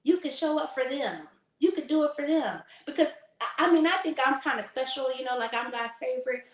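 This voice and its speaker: A slightly hoarse woman hosting a faith talk, preaching casually to her audience in a sassy, emphatic way. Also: high-pitched, lively intonation, a little fast, roomy sound. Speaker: faith talk host and lifestyle consultant.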